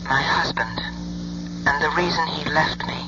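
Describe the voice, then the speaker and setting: An actress playing an anxious wife pleading over the telephone in a radio drama, hesitant and soft. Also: female voice, deep voice, measured pace. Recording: dull, hissy old radio broadcast.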